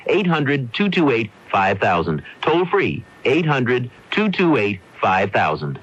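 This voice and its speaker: A man reading a scripted financial radio advertisement in a husky voice, on a dull AM recording. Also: mid-range voice, sing-song, slow pace, fairly bright tone. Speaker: commercial announcer.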